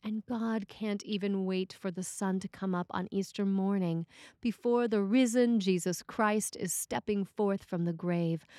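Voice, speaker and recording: A woman priest reading a scripted sermon in a measured, deliberate way. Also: medium pitch, a little mellow, no room sound, clean studio sound.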